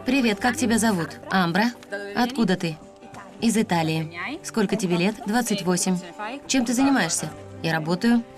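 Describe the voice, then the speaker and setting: A woman reading a Russian voice-over translation of people introducing themselves, in a clear, plain way. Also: medium pitch, normal speed. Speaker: voice-over interpreter. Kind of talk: dubbed self-introductions, read evenly. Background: background music.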